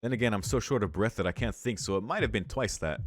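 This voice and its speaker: A man's voice: lowish voice, fast talker, no echo, clean studio sound. A man reading visual novel narration aloud as a let's play host, with casual asides.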